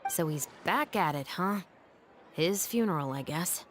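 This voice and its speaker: A wry, weary patrol officer. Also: female voice, lowish voice, lively intonation, unhurried. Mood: dry. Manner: wry, matter-of-fact. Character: dry, tired female patrol officer. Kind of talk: game cutscene dialogue. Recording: game score under dialogue. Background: background music.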